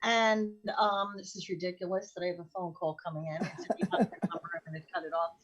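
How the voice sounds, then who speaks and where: A woman's voice: fairly low pitch, fairly even intonation, fast, slightly rough, highs cut off. A woman co-hosting a live show in a casual, chatty style. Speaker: co-host.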